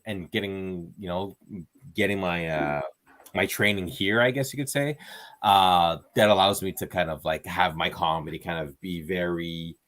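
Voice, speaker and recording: A man's voice: low-pitched, fairly bright tone. A man, a stand-up comedian, chatting casually as an interview guest, rambling with fillers and restarts and acting out quoted exchanges. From Canada.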